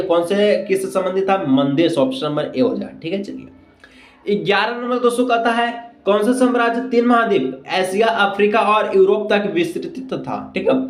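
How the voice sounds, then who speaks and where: A man teaching exam questions and answers, repeating himself and asking rhetorical questions as he goes. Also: sits high. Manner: repetitive, frequent check phrases and rhetorical questions. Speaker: teacher, exam-prep video host. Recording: echoey room sound.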